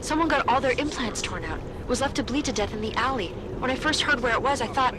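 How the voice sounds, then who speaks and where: A woman's voice: high-pitched, animated, fast talker, noisy background. An actress playing a concerned female friend speaking anxiously. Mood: worried. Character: worried female friend, caring. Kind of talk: video game dialogue.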